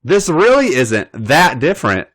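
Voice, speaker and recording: A man's voice: fairly high pitch, wildly swooping pitch, unhurried, a bit bright, voice pushed up, full character voice. A man voicing an emotional, bubbly young woman who is eager and persuasive.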